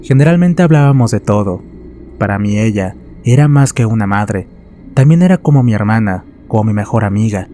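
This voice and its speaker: Bright-voiced low male storyteller. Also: animated, moderate pace, a little hiss or hum. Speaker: horror story narrator. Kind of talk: scripted dramatic first-person narration.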